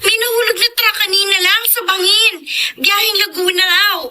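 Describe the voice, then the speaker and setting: A concerned young Filipino woman friend in radio drama. The voice is extremely high and bright. She chatters anxiously, in a hurry. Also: fairly even intonation, normal speed, heavily acted. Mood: anxious, urgent.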